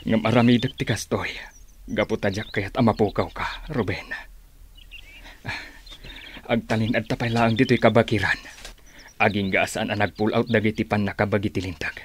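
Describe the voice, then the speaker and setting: Earnest, protective elder played by a man, Filipino accent, rather low and solemn, radio drama, slight background noise. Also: fairly even intonation, moderate pace, long pauses, close to an everyday voice. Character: earnest protective older man, loyal helper of a fugitive.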